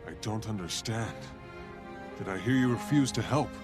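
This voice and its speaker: An actor playing a dutiful, resolute young Norn hero in a fantasy video game. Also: male voice, lowish voice, expressive, moderate pace, close to an everyday voice. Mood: puzzled. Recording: game audio with music behind.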